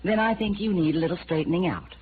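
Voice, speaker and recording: An American actress playing a guarded, provocative police chief's widow in a 1950s radio noir drama, coy and defiant. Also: female voice, lowish voice, fast, lightly acted. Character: cool, evasive, provocative police chief's widow, adult woman. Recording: muffled, hissy vintage radio recording.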